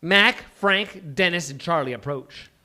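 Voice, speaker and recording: A man narrates stage directions at a live table read. His voice is fairly high and bright, with a scripted reading delivery. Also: measured pace.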